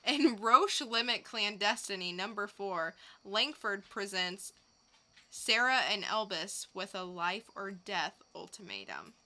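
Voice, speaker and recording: A female podcast co-host with a very bright, sharp voice. She reads scripted teasers slowly and with measured delivery. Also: mid-range voice, lively intonation.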